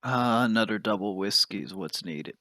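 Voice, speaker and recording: A man playing a blunt, deep-voiced drinker. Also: lively intonation, normal speed, pushed and bright, no room sound. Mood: gruff. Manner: blunt, gruff remarks. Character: blunt, whiskey-drinking male crewman. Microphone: online voice call mic.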